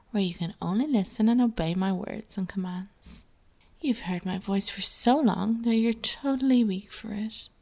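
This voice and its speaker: A woman speaking softly in a slow, commanding, hypnotic way, often sliding into a half-whisper, as a scripted ASMR hypnosis roleplay. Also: mid-range voice.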